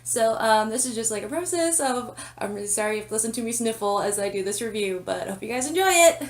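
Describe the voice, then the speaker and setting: A woman's voice: fairly high pitch, animated, fast talker. Congested woman chatting in an echoey room. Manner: chatty, apologetic, many ums and restarts. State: congested from a cold, sniffling. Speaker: comic reviewer and channel host. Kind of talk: casual vlog review. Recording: roomy, slightly distant sound.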